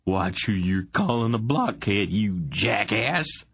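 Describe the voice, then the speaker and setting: A stubbled, quarrelsome miner , put on by a male narrator, pitched higher than the narrator's own voice and sneering at every turn. The voice stays husky and dry. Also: animated, takes it slowly, fairly bright tone, dry recording, full character voice. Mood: belligerent. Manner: contrary and sneering.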